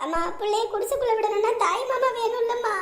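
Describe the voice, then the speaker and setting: A woman's voice: very high voice, a little fast. A woman playing a helpless young village mother and daughter-in-law, anxious and plaintive, in a family drama. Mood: tearful, helpless. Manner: plaintive, respectful. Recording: roomy, echoing sound.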